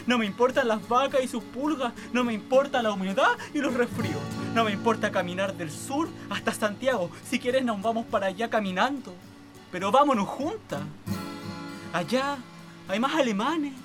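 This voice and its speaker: A woman's voice: on the high side, normal speed, over music, close to an everyday voice. An actress playing a devoted, wounded woman who has waited years for her lover, speaking with heartfelt pleading in a radio play. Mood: pleading, hopeful. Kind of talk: radio theatre acting.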